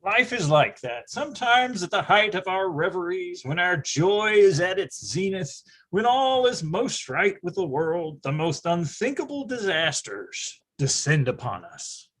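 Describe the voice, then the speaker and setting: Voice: mid-range voice, very animated, measured pace, hardly any pauses, a bit bright, husky, exaggerated voice. A performer playing a wry storyteller recalling boyhood, narrating in a grandiose, melodramatic comic manner. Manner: grandiose, dramatic wording.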